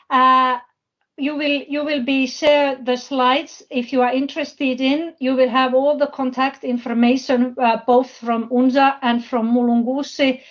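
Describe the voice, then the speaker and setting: A woman giving an unscripted presentation at an online business forum, speaking haltingly and often searching for words. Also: high voice. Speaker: presenter, university project representative. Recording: dull, lo-fi online call audio.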